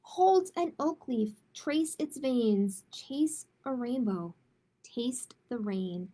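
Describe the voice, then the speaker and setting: Lady with a highish voice, takes it really slow, storytime host talking to little kids and families. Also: expressive, mellow, a little hiss or hum. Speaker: children's librarian hosting storytime. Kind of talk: picture book read-aloud, then casual announcements.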